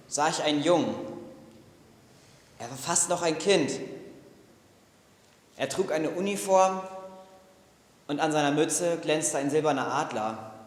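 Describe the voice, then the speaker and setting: A young man narrating a memorial piece in an earnest, measured way. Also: fairly high pitch. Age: young adult. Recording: echoey hall recording.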